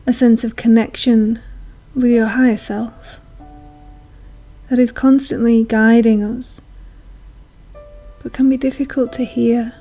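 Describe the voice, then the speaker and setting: A woman leads a guided meditation in a soothing, hushed manner, leaving long pauses. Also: on the high side. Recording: soft music behind voice. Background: calm meditation music.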